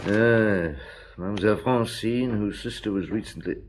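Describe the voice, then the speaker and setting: An actor playing a calm, probing police inspector in a radio drama. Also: male voice, fairly low pitch, moderate pace, a little hiss or hum, lightly acted. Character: patient middle-aged police inspector.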